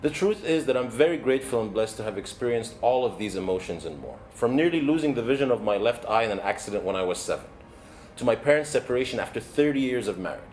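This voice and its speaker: A man from Egypt whose first language is Arabic speaks as a keynote coach. His mid-pitched voice is earnest and measured, with deliberate phrasing. Faint background noise sits behind the speech. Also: expressive, a little mellow. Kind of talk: motivational keynote talk. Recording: background noise, ambient sound.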